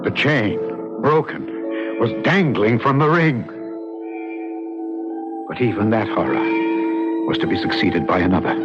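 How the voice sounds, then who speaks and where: An actor playing a haunted, dutiful police official in an old-time radio mystery, grave and probing in manner. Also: male voice, mid-range voice. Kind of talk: old-time radio mystery acting, dramatic first-person narration. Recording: muffled vintage radio broadcast.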